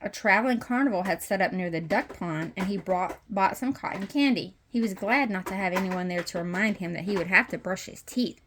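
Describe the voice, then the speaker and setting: A woman reads a picture book aloud for children in an expressive storytelling style. Her voice has a middling pitch for a woman. It is recorded in a home playroom with some faint background noise. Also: a little fast, hardly any pauses. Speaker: read-aloud host. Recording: noisy home room with clicks.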